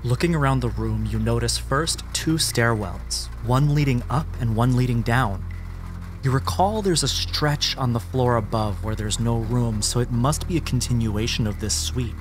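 A man narrating a horror tabletop game as game master, with dramatic, descriptive and deliberate phrasing. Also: mid-range voice. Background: background music.

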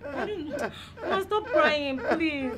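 High-voiced earnest Nigerian daughter. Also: female voice, lively intonation, unhurried, a crowd in the background. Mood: tearful, pleading. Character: young adult daughter, earnest, outspoken, anxious. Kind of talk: film drama acting.

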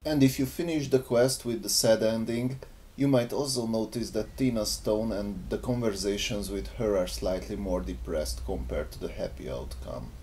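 A man's voice: on the low side, normal speed, dark tone, a little hiss or hum, low-quality recording. A man presenting a game mod in scripted, explanatory video narration.

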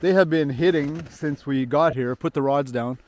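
A man's voice: fairly high pitch, normal speed. A man in his fifties from Northern Ontario chatting to viewers while ice fishing outdoors, recorded on a head-mounted action camera. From Northern Ontario, Canada. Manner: chatty, excited, talks to viewers. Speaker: vlogger. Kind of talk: casual outdoor vlog narration. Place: frozen lake outdoors in wind.

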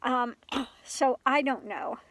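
An older woman chatting casually into her phone inside a camper, stuffed up with a cold and clearing her throat often. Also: high-pitched, takes it slowly, no echo, rough recording. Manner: rambling, frequent throat clearing and soft laughs. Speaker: vlogger. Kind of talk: casual chat to camera. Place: inside a small camper van at night. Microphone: phone camera at arm's length.

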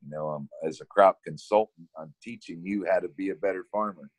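Deep-voiced man from Kansas on a video call. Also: fairly quick, dry recording. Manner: relaxed storytelling, laughs often, repeats words when starting. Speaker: environmental educator guest. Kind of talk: casual interview over video call. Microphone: webcam on a video call.

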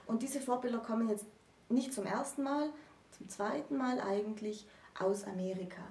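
A woman in her thirties explaining literature in an articulate, emphatic way, in deliberate phrases. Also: fairly high pitch, a little mellow. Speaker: presenter of a literature explainer series. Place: small indoor room with bookshelves. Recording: roomy, distant microphone.

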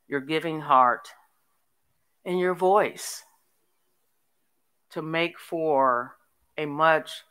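An older woman giving a formal, inspirational commencement speech in an earnest, emphatic manner with deliberate phrasing. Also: sits low, a bit bright, smooth. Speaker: commencement speaker. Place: on stage in a large hall at a graduation ceremony. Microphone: podium microphone.

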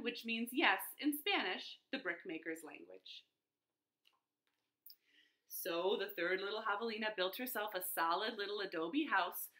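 Animated American woman reading a picture book. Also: mid-range voice. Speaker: children's librarian, storytime reader. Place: living room at home.

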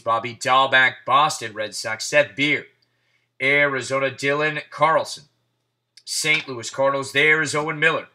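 A man hosting a live card break, calling out players and teams in quick succession with hyped asides, his voice getting hoarse. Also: mid-range voice, pushed and bright. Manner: rapid-fire name calling, hyped asides. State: losing his voice. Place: indoors at a table.

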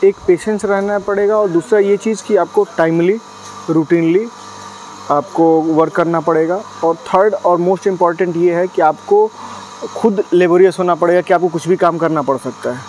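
A man's voice: high voice, fairly even intonation, moderate pace, a little mellow, slight background noise, poor audio. A man in his thirties, outdoors at night beside a delivery van, explaining a dairy business at length with frequent "uh" fillers and English business terms. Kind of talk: casual interview answers.

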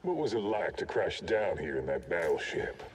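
A man's voice: sits low, sing-song, normal speed, a little hiss or hum. A man playing an easygoing, world-weary raider mercenary in a video game, with a wry, folksy manner. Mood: wistful, casual. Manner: laid-back, wry, folksy. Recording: reverberant, faint game ambience behind.

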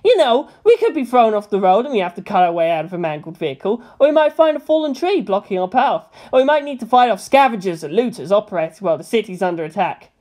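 A woman voicing an eager, polite teenage boy with an earnest, excitable manner. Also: medium pitch, fast, hardly any pauses.

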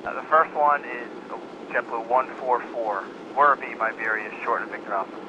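A man flying as an airline pilot, giving quick, clipped readbacks to the ground controller over the radio. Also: mid-range voice, dull audio. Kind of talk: air traffic control radio. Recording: noisy radio transmission.

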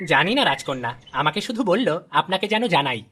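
A man's voice: a little high, very animated, normal speed, bright, forceful tone, slight background noise. A man voicing a loyal, deferential royal minister in a storybook cartoon, speaking formally and dutifully. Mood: obedient.

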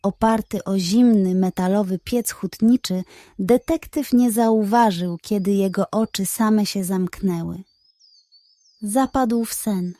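A woman narrating a scripted children's bedtime story in a measured, expressive manner. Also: mid-range voice, no room sound, clean studio sound.

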